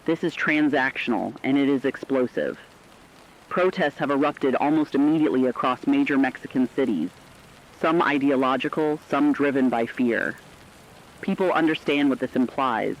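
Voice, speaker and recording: A man's voice: medium pitch, normal speed, close to an everyday voice. Dull-sounding, serious radio correspondent played by a man. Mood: serious, urgent. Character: serious radio correspondent reporting an escalating war crisis. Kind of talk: scripted fictional emergency radio report.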